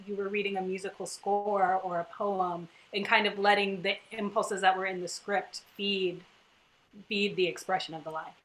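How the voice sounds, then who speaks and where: A woman's voice: mid-range voice, fairly bright tone, low-quality recording. A woman actor in a casual panel conversation, explaining her craft in an emphatic, searching way. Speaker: stage actor in a cast discussion.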